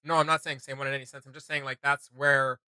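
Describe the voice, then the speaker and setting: A man hosting a video game reaction show, chatting casually in a rambling, excitable, slangy way. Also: mid-range voice, quick pace, smooth, no room sound, studio quality.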